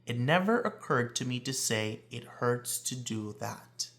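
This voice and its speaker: Male read-aloud presenter, children's nonfiction storybook, lively varied intonation, emphatic delivery. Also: medium pitch, unhurried, a little hiss or hum.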